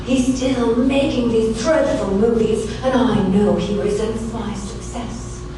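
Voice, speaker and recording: A woman's voice: fairly high pitch, steady tone, slow. Woman doing a fretful rich lady client for noir radio theater, polite and hesitant, far from mic, noisy recording. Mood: uneasy, resentful. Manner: nervous, hesitant, fussily polite. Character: anxious, genteel wealthy female client being blackmailed. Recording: echoey, distant, noisy stage recording.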